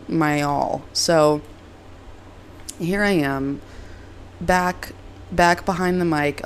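Young female podcast host, hoarse and raspy from a cold, gravelly and chatty, over faint background noise. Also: low-pitched. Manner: rambling and self-deprecating. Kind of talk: casual solo podcast chat. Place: moved from Reno to LA, recording at home.

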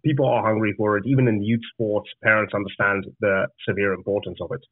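A man's voice: on the low side, fairly even intonation, fast, no room sound. Zimbabwean man on a tinny call line. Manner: earnest, repeats words as he restarts. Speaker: guest, startup founder. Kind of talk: conversational interview answers. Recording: dry, tinny phone-like line.

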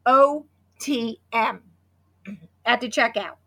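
Hoarse, chatty woman in her fifties. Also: on the high side, takes it slowly. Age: 50s. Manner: chatty and excitable, with many restarts. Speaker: podcast co-host. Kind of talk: casual podcast chat with sponsor read.

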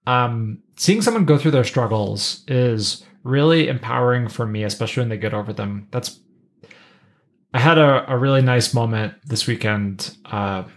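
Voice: medium pitch, fairly bright tone. A podcast co-host in a casual pop-culture discussion, wry and given to voicing imagined lines.

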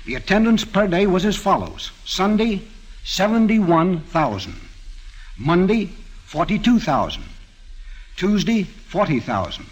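Casting: American male guest speaker, 1940s radio, raspy and a touch high, stately measured delivery. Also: very animated. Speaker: guest observer on a quiz program. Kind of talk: formal prepared remarks on 1940s radio.

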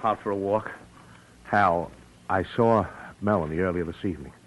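An actor playing an earnest, shaken accomplice in a 1940s radio drama style. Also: male voice, deep voice, normal speed. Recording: noisy old radio recording.

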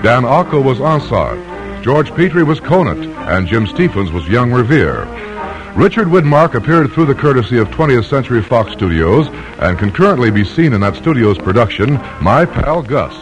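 A man's voice: medium pitch, very animated, moderate pace, low-quality recording. A man announcing in a formal, polished and emphatic 1950s radio style, on an old broadcast recording. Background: orchestral music.

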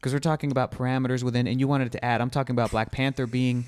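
Male podcast host, 30s, mid-pitched, animated, argumentative, keeps steering the chat. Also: rapid-fire, clean tone. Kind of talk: casual chat.

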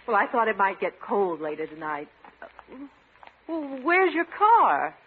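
A woman's voice: mid-range voice, very animated, unhurried. Elegant, lilting society lady. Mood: gracious. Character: elegant, wealthy, composed flirtatious lady. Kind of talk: 1940s-50s radio comedy acting. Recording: vintage radio, narrow band, noisy.

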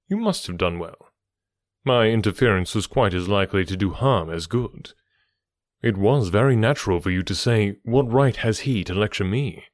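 A man voicing an earnest gentleman who gently lectures, in a lower, measured tone for audiobook dialogue. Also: dry recording, studio quality, close to an everyday voice.

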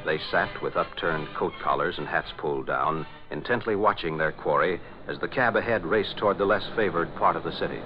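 A man's voice: sits low, a little fast, hardly any pauses. A man narrating a scripted radio drama in 1940s style. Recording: dull old radio recording, music.

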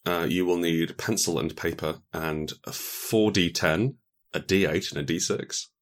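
A man's voice: sits low, steady tone, unhurried. A man speaking as a guest game designer in a casual interview, explaining things in a rambling, enthusiastic way.

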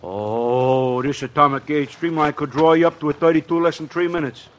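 Actor as coaxing, slangy American salesman, highish voice. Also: steady tone, normal speed, highs cut off, lightly acted. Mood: persuasive. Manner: coaxing, slangy, punctuated with uhs. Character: smooth-talking, slangy male department-store salesman, eager and coaxing. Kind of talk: 1950s radio comedy acting.

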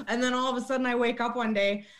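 A young woman with a fairly high voice talks as a podcast guest about her years behind the bar. She is blunt, swears freely and laughs at herself. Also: steady tone, very fast. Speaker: podcast guest, former bartender. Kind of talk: casual interview chat.

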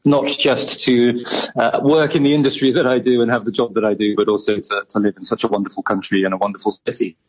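A man from outside South Africa, speaking as a guest in a phone interview, measured and polished with frequent ums. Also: medium pitch, flat delivery, slightly rough, dull audio. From not South Africa. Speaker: champagne brand guest.

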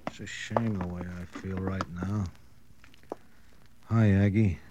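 An actor playing a boozy, lovesick, washed-up nightclub owner in an old radio crime drama, rambling and maudlin. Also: male voice, low-pitched, little pitch movement, slow, highs cut off, heavy background noise. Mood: wistful, maudlin.

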